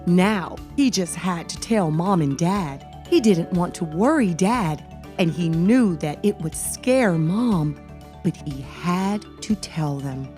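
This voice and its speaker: A woman hosting a children's read-aloud, narrating in an expressive, deliberate way as she addresses young listeners. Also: on the low side, soft background music. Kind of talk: expressive children's storybook reading.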